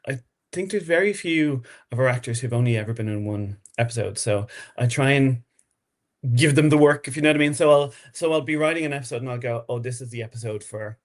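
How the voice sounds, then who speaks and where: Guy on a podcast, mid-pitched voice, excited n rambly, keeps restarting his sentences. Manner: rambling, halting restarts, enthusiastic. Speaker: podcast guest, horror fiction writer. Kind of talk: casual interview chat.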